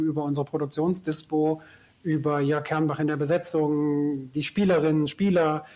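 A man's voice: fairly high pitch, a little mellow. A man from a radio drama department giving an informal thank-you speech.

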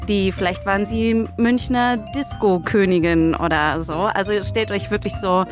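Woman telling a story casually, chatty scene-setting, middle pitch, faint music under it. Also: steady tone, moderate pace. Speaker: co-host pitching a crime audio drama story.

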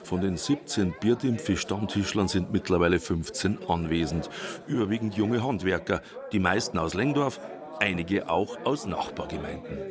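Deep-voiced documentary narrator. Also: male voice, lively intonation, crowd noise. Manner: wry, measured storytelling. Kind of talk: scripted narration.

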